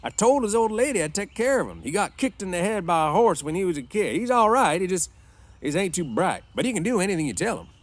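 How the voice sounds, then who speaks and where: Man acting rough, quick-tempered ranch hand, swooping pitch. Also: sing-song. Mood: defensive. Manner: rough, slangy, scolding. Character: wiry, quick-tempered male ranch hand, bossy and defensive. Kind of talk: storybook read-aloud.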